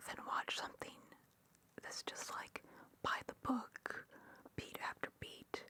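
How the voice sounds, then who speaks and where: A woman rambling in a breathy whisper for ASMR, geeky and opinionated, speaking close to the microphone. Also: pushed and bright. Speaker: ASMR creator.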